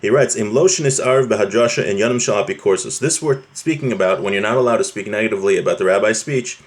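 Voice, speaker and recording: A man with a fairly low voice leads an informal Torah class. He reads from a text and explains it in a conversational way, turning often to his listeners to check they follow. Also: fast. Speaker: religious teacher giving a class.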